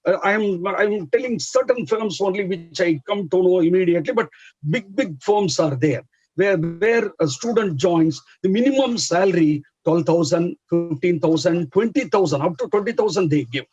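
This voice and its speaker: A man with an Indian English accent explaining as a chartered accountancy educator, halting with many fillers in an earnest advisory way. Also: high voice, expressive, darkish tone, breathy edge, no echo, muffled recording, soft background music. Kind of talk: explanatory interview answer.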